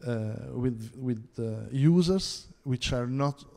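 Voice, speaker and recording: Italian-accented male panelist, hesitant delivery, slow pace, long hall reverb. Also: mid-range voice, warm tone. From Italy. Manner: hesitant, many fillers and restarts. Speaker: conference panel speaker. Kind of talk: halting conference presentation. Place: theatre hall.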